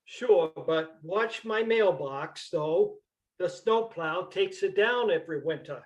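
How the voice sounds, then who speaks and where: A man playing a terse, deadpan old farmer from Vermont in an amateur staged play reading. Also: high-pitched, slow pace.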